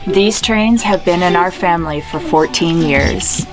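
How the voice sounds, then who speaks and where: A woman's voice: on the low side, a little slow, over music. A woman hosting a family vlog, narrating and explaining what is around her.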